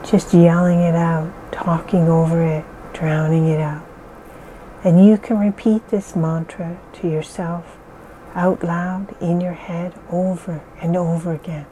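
A woman leading a guided relaxation in slow, soothing, repetitive phrases. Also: lowish voice, flat delivery, mellow, a little hiss or hum, rough recording. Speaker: meditation guide.

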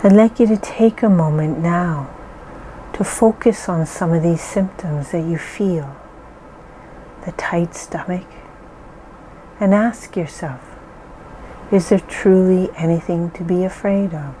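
A woman slowly leading a calming guided relaxation, reassuring and gentle with deliberate pauses. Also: fairly low pitch, slight background noise, low-quality recording. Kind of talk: slow calming guided meditation.

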